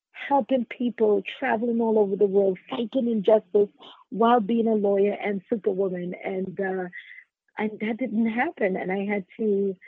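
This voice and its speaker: Podcast guest: Trinidadian woman, creaky voice, conversational storyteller, thin dry phone-quality audio. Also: mid-range voice, no room sound. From Trinidad and Tobago. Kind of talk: conversational interview answers, personal storytelling. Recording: narrow phone-like sound, dry.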